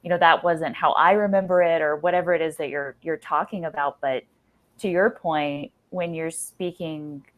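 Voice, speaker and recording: A woman with a distinctly low voice speaks as a podcast host. She is earnest and reflective, working through long thoughts and rewording them as she goes. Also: fairly even intonation, normal speed.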